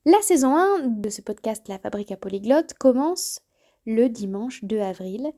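A woman's voice: on the high side, lively intonation, moderate pace. A woman in her early thirties hosting her podcast, speaking straight to the listener and sometimes correcting herself mid-sentence. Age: 30s. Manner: warm, addresses listener directly, self-correcting. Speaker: podcast host. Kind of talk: solo podcast trailer monologue.

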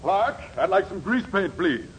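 A man's voice: sits high, sing-song, measured pace. An actor playing a pompous, vain male thespian in a 1940s radio comedy, with haughty, formal diction. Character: pompous, vain stage actor hungry for stardom. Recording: noisy vintage 1940s radio recording.

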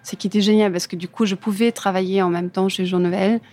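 A woman's voice: medium pitch, fairly even intonation, fast talker, darkish tone. A woman from Germany with a German accent, a native German speaker answering interview questions casually in imperfect French, often searching for words. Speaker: interview guest, furniture designer. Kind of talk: casual interview answers about own career.

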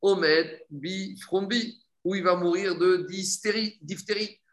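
Casting: male religious teacher, high voice, didactic question-and-answer delivery, echoey room. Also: slow. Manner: didactic, asks and answers own questions, repeats phrases. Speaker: religious teacher giving a Talmud class. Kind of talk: explanatory Talmud lesson, reading a text and translating it.

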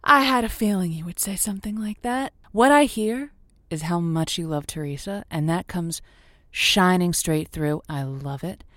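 An actress playing an earnest romance advice host on a call-in radio show, reassuring and emphatic, drawing out key words. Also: female voice, lowish voice, moderate pace, a bit bright, dry recording, clean studio sound, lightly acted. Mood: gently probing. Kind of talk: semi-improvised audio comedy as radio advice show.

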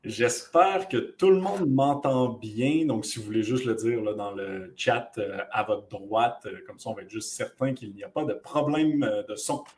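A man hosts and opens an online webinar over a video call, speaking at a middle pitch. He rambles casually, with lots of hesitations and restarted sentences. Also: moderate pace, few pauses, darkish tone. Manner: rambling, with many fillers and restarts. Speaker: webinar host.

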